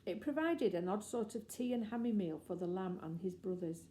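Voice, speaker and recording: A woman reads a children's story as a narrator. Her voice has a mellow, rounded tone at a medium pitch. Light background noise runs under the recording. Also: expressive, normal speed. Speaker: audiobook reader.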